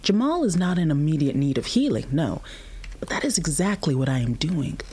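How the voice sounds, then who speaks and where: A woman playing a patient, tired mother in an audio drama, explaining things plainly and with gentle firmness in a husky voice. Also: low voice, big pitch swings, a little fast, heavily acted. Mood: tender, reflective. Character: patient, tired human mother of a nursing infant.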